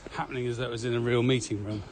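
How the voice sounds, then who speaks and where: A man's voice: mid-range voice, racing, crowd noise. A man talking casually as co-host of a podcast. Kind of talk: casual conversational podcast chat. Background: steady ambient noise.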